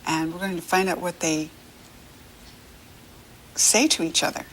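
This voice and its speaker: An American woman reading a picture book to young children as a lesson, encouraging and animated, asking questions as she goes. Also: fairly low pitch, unhurried, slight background noise. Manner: encouraging, asks questions and leaves room to answer; animated, sing-song child-directed talk.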